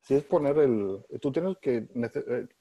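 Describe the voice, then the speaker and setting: A man, a professor from Spain, explaining things in a relaxed interview with frequent pauses and restarts. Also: medium pitch, husky, no echo, low-quality recording. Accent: neutralized Spanish, mixing Spain and Mexico.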